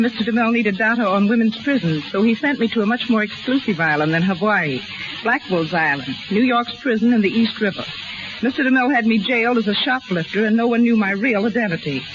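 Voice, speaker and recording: A woman's voice: mid-range voice, a little fast, background noise. Woman, 1930s radio guest, scripted storytelling with dry quips, dull lo-fi recording.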